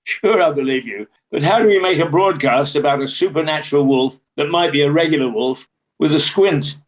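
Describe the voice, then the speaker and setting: A man's voice: fairly high pitch, a little fast, lightly acted, poor audio. A man playing a skeptical radio producer in a radio play, with a dry, questioning way of talking.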